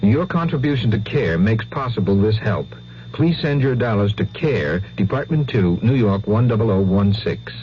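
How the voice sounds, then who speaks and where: A male announcer reads a scripted charity appeal in a fairly low voice. It sounds like a muffled 1970s radio spot with slight noise. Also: lively intonation, normal speed, a little mellow.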